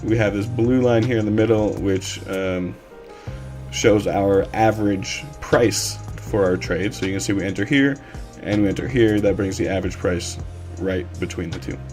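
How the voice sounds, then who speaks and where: A man with a slightly hoarse voice, streaming a casual coding tutorial from his desk and rambling with lots of fillers. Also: on the low side, normal speed, soft background music. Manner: relaxed, rambling with many fillers. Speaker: livestream coding instructor.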